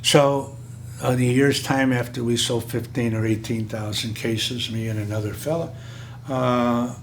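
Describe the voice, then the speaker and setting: An older man, a bit hoarse, recalling his career in a rambling way with many halting pauses. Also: medium pitch, steady tone, a little hiss or hum. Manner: rambling, frequent filler pauses. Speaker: interviewee. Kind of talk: oral history recollection.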